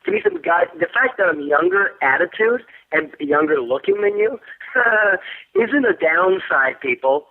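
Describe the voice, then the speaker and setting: Guy in his 30s, cussing and bragging through a tinny phone, kinda high voice. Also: measured pace. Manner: profane, boastful, animated storytelling with quoted voices. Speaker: interview guest, actor. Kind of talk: casual phone interview.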